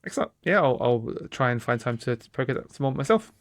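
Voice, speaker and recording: A man's voice: mid-range voice, lively intonation, fast. A man co-hosting a podcast, talking casually about games in a wordy, stop-start way with repeated words. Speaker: podcast co-host. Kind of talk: casual game discussion.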